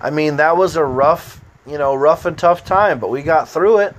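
A man's voice: on the high side, moderate pace, a little hiss or hum. An American man hosting his own podcast, talking in a loose, meandering way with lots of fillers and restarts. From United States. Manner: meandering, easygoing, frequent fillers. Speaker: podcast host. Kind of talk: casual rambling monologue.

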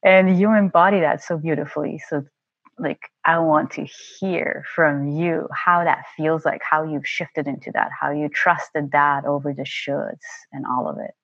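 A woman's voice: deep voice, fairly even intonation, a little slow, vocal fry, fairly bright tone, no echo, highs cut off. Chatty woman host, "right?" after each point. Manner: chatty, says "right?" often. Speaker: podcast host. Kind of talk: conversational interview about human design.

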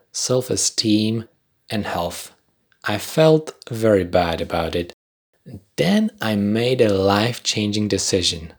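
Young polish guy doing a scripted podcast, accented english, raspy-ish voice, pitch moves around a lot. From Poland. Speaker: podcast host. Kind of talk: scripted reflective narration with commentary.

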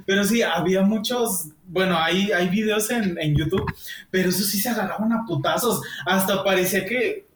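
A man with a Mexican accent co-hosting a podcast, chatting casually, joking and quick to laugh. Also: sits high, fairly bright tone, echoey room, slight background noise, poor audio. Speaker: podcast co-host. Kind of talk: casual chat.

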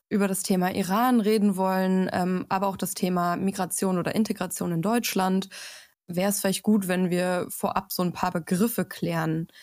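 A woman's voice: mid-range voice, a little mellow, dry recording, clean studio sound. A woman hosting an interview podcast, asking curious questions in a friendly way with occasional fillers. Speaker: podcast host. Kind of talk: casual interview.

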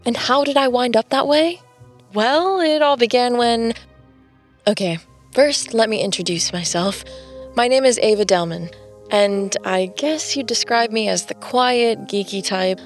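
An actress playing a quiet, geeky designer in an audio drama, reflective and wry in a conversational way. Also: female voice, a little high, measured pace. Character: quiet, geeky woman designer narrating her story. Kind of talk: first-person audio drama narration and dialogue. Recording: music under the voice.

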